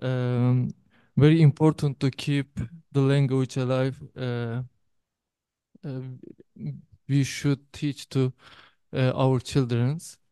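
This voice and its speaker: Slow, mellow Kurdish man speaking halting English. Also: medium pitch, little pitch movement, smooth, dry recording. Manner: halting, simple phrasing in non-native English. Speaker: musician. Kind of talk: brief thanks at a live event.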